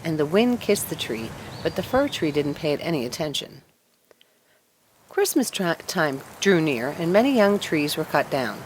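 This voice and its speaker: A woman narrating a children's story aloud in an expressive read-along style. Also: deep voice, moderate pace, noisy background.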